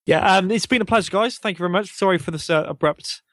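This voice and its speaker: A man's voice: high-pitched, quick pace, a bit bright, no room sound. A man speaking casually as a podcast guest, hesitant and apologetic in his manner. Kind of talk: casual chat.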